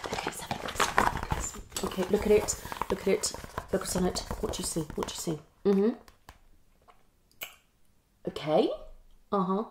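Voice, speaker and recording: A woman's voice: mid-range voice, fairly quick, long pauses, background noise. A young woman playing a psychologist in an improvised ASMR roleplay, questioning and hesitant, often repeating short phrases. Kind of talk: fast unscripted ASMR roleplay. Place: small home room, indoors.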